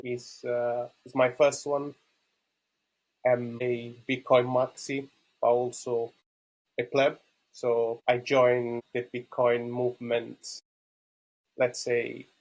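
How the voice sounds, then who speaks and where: A man in his thirties from Rome with an Italian accent, answering as a podcast guest in halting, thoughtful non-native English. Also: medium pitch, rough recording. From Rome, Italy. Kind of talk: conversational interview answers about own life.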